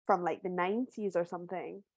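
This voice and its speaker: A woman from Barbados, an author, talking through a video call. She tells her story thoughtfully with small restarts and sounds a little hoarse from a cold. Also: on the low side, fairly even intonation, a little fast, no echo, clean studio sound. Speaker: author guest. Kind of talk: casual book club video call conversation.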